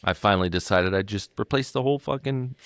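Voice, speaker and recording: Low-voiced man rambling in casual chat. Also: fast talker, no echo. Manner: rambling, swears casually, many fillers. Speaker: podcast co-host.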